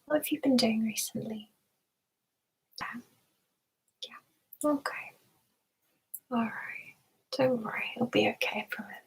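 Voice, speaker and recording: A woman hosting an ASMR stream, speaking in a soft whisper with a gentle, soothing, unhurried manner. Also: a little high, vocal fry, husky, poor audio. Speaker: ASMR live stream host. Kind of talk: ASMR personal attention roleplay.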